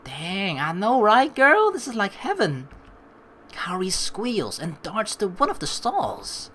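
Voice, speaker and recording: A woman's voice: medium pitch, takes it slowly, a little hiss or hum. A woman hosting a let's play, rambling informally and reading the game's text aloud in a casual way. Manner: rambling, animated, informal with mild swearing. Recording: faint game music behind the voice. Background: game music.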